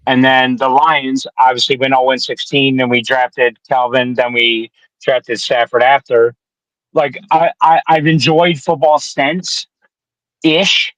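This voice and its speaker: A man's voice: medium pitch, flat delivery, takes it slowly, a bit bright, no echo, low-quality recording. A man in his twenties from the Detroit area, chatting about sports as a podcast guest in a rambling, joking, profane way. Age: 20s. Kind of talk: casual sports chat.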